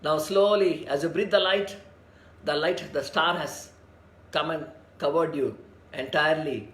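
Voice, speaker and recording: A man's voice: on the high side, a bit bright. Soothing man. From India. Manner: slow, soothing, repetitive chanting of mantras. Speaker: meditation leader. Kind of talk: guided spiritual meditation. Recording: echoey room.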